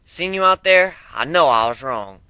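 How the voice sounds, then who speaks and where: A man's voice: on the high side, expressive, normal speed, sharp tone, clean tone, no echo. A man voicing a blunt but apologetic female pony, hesitant and sincere, in a story reading.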